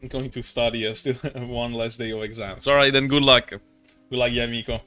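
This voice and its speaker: A man's voice: medium pitch, steady tone, a little fast, bright, forceful tone. A young man streams a game and chats in a mid-range voice. His talk is casual, slangy and jokey with swearing, and music plays faintly behind him. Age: young adult. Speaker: livestreamer playing a game.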